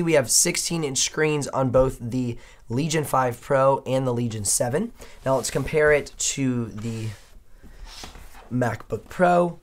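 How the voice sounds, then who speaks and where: Hoarse man giving a chatty tech review. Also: medium pitch. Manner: upbeat, chatty, punctuates points with "okay?". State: hoarse, losing his voice. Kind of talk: laptop comparison review. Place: indoor studio desk, dim room.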